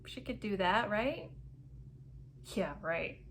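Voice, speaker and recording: A woman narrating a novel in an expressive, dramatic storybook read-aloud style with emphatic phrasing. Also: medium pitch, slow pace, slight background noise.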